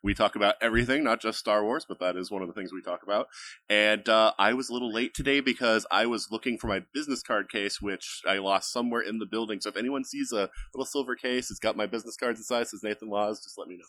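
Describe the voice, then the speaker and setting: A man podcast host introducing himself on a fan panel, brisk and friendly. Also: lowish voice, bright, forceful tone. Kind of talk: convention panel chat.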